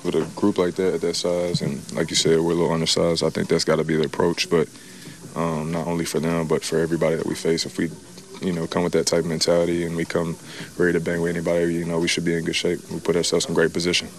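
Young ballplayer guy, super deep flat voice, talks fast, chill slangy answers. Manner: relaxed, casual slang, many fillers. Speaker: player in locker room interview. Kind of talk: postgame locker room interview. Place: locker room.

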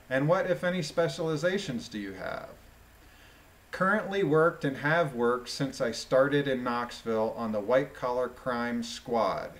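A man with a mid-pitched voice reads a legal transcript aloud. He is deliberate, voicing both questions and answers in the informal way of an online commentator.

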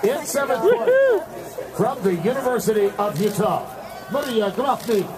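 A man's voice: high voice, lively intonation, normal speed. A man announcing an awards ceremony formally, projecting and stressing names with emphasis. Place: outdoors at a snowy ski venue. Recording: very noisy outdoor recording, loud crowd. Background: loud crowd and ambient noise.

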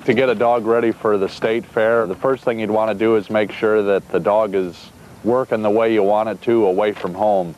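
A man from Iowa, a sheepdog trainer, talking calmly and plainly outdoors, with some fillers. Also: fairly low pitch, normal speed, highs cut off, a little hiss or hum. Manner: calm, plainspoken, with fillers and restarts. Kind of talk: casual interview talk. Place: outdoors on a farm.

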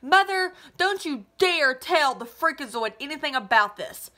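A woman's voice: high-pitched, animated, normal speed, pushed and bright. A woman voicing an angry, scornful teenage girl who snaps dismissively, in a children's novel read-aloud. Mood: furious. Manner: shrieking, snapping, dismissive.

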